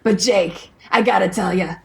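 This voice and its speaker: An actress playing a wary, tough records insider in a radio play, with a blunt, folksy, wry manner. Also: female voice, mid-range voice, moderate pace, vocal fry, lightly acted. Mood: wry, hesitant.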